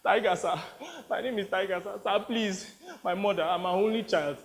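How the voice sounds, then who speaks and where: A man's voice: high voice, moderate pace, a crowd in the background, voice pushed up. Man acting a terrified, pleading boy captive. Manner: pleading, stammering, deferential. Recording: long reverberant hall.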